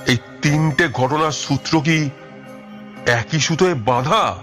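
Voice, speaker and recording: An actor playing a brooding, resolute detective in an audio story, speaking in a deliberate, dramatic way. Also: male voice, fairly high pitch, a bit bright. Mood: pensive. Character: brooding, resolute male detective, shrewd leader. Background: music.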